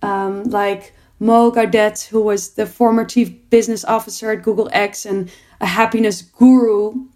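A young woman speaks about her work in an earnest, reflective way. Her voice sits in a middle pitch, and she often repeats a word as she restarts a thought. She sounds like a guest answering interview questions. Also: steady tone, moderate pace, a little mellow. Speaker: producer and entrepreneur.

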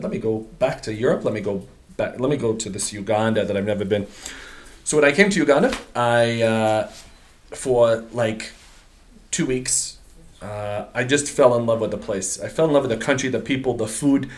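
A middle-aged man from Portugal, a guest on an interview podcast, telling his story in a relaxed, slangy way with many fillers and restarts. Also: mid-range voice, normal speed, slight background noise. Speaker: guest, business owner. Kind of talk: casual interview chat.

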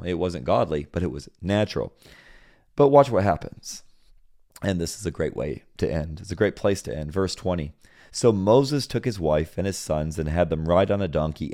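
A man from Senegal preaching a sermon and reading scripture, repeating phrases and building to loud, emphatic peaks. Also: low-pitched.

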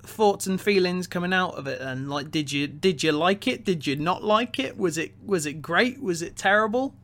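A man with a notably high, bright voice hosts a casual film discussion. He starts sentences haltingly, often doubling back over his words. The delivery is conversational and forward in tone. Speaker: podcast host. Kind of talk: casual film review chat.

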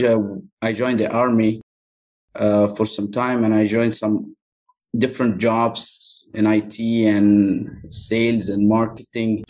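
A man from Egypt, Arabic his first language, talking in a fairly low voice. He answers in a thoughtful, halting way, full of pauses and "uh"s, and sounds as if he is on a remote call mic. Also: darkish tone, low-quality recording. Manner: thoughtful, hesitant, many fillers. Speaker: localization agency owner, guest. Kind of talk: interview answers.

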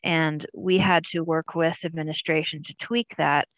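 A woman, a parent who stutters, speaking as a guest in an articulate, conversational way with a steady stream of fillers. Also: low-pitched, normal speed, dry recording. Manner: articulate, conversational, uses fillers like "you know". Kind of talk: conversational interview.

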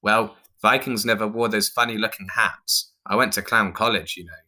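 A man's voice: lowish voice, fairly even intonation, normal speed, pushed and bright. A man voicing a defensive, argumentative female clown with indignant retorts in a storybook reading.

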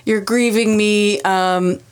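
A woman's voice: medium pitch, steady tone, slow pace, clear, resonant tone. Irreverent, joking woman podcast co-host. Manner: irreverent, joking, swears, puts on mock quote voices. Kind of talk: casual book-club chat.

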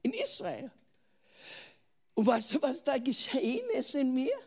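Older German woman preacher, earnest and questioning, high-pitched, unhurried delivery. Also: sing-song, creaky, a little mellow, breathy edge. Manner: earnest, asks the listener questions, restarts words. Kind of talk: sermon.

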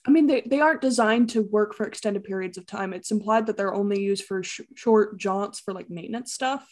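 A young woman co-hosting a casual podcast, giving her opinions clearly and articulately. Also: mid-range voice, fairly quick, a little mellow. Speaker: podcast co-host. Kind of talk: casual chat.

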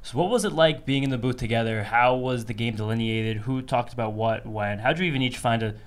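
A man's voice: mid-range voice, brisk. A man co-hosting a podcast, asking interview questions in a casual, conversational style.

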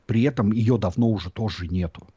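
A man's voice: fairly low pitch, fairly quick, warm tone, husky. A Russian-born man who lives abroad and hosts a YouTube channel, reflecting and explaining at length in a casual chat. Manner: reflective, explanatory, many fillers. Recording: dull lo-fi sound.

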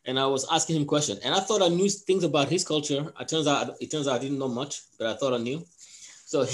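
A man from Cameroon who coaches a soccer team, talking casually on a podcast and often restarting his sentences. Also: mid-range voice. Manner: rambling, with many restarts and fillers.

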